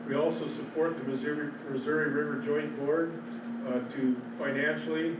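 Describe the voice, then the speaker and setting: A man's voice: on the high side, steady tone, normal speed. A man with an Upper Midwestern American accent giving a plain presentation at a public hearing, with some fillers. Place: large public meeting room. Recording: roomy hall, far from mic, loud noise.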